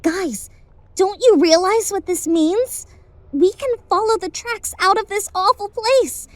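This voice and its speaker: High-voiced hopeful young adventurer girl played by a woman. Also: unhurried, dry recording. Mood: hopeful, excited. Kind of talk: amateur audio drama acting.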